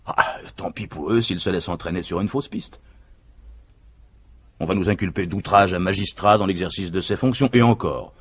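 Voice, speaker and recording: An actor playing a self-assured, scheming husband in a vintage French radio mystery, speaking in persuasive, emphatic speeches with a husky voice. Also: male voice, low voice, normal speed, a little hiss or hum, lightly acted. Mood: eager, boastful.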